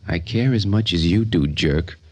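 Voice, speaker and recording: An actor playing the blunt, taunting, impatient inner self of a grieving young man in a radio drama, with a husky voice. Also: deep voice, normal speed, darkish tone. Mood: impatient goading. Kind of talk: old-time radio drama acting.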